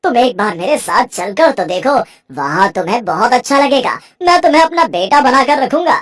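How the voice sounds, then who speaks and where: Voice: medium pitch, sing-song, normal speed, fairly bright tone. An actor voicing a scheming sorcerer who poses as a kindly uncle, persuasive and ingratiating, in a cartoon moral story. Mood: coaxing. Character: scheming male sorcerer posing as a sweet-talking uncle. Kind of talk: animated Hindi moral story acting.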